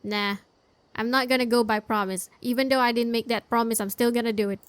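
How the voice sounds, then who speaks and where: A woman's voice: on the high side, fairly even intonation, brisk, clear, resonant tone, no room sound. A woman gamer giving casual, sympathetic playthrough commentary, talking to the characters on screen. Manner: talks to the game characters, sympathetic. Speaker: gaming streamer.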